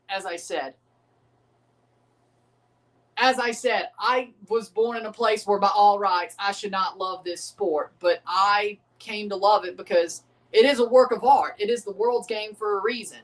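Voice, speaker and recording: A man hosts a relaxed livestream reaction, talking in loose, profane rambles. He keeps doubting his own points as he goes. His voice sits a little back from the microphone. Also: sits high, measured pace, distant mic, low-quality recording. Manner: rambling, profane, second-guessing himself. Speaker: livestream host reacting to a match. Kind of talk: casual livestream reaction chat.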